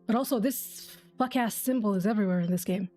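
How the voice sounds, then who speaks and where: A woman's voice: mid-range voice, fast, warm tone, soft background music. A woman game streamer giving casual, sweary reaction commentary, thinking aloud as she plays.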